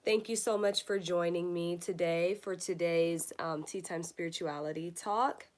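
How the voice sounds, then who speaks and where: A woman's voice: lowish voice, fairly even intonation, measured pace, darkish tone. A woman hosting a casual spiritual livestream, a little congested, talking straight to her viewers. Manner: chatty, addresses viewers directly. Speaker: astrology livestream host. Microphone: phone or webcam, live stream.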